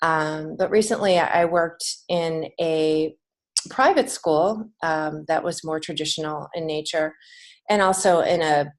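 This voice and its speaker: A middle-aged American woman with a fairly low voice talks as a guest in an interview. She explains things in an earnest, conversational way and leans on key phrases for emphasis. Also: measured pace. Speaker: podcast guest, children's author and teacher.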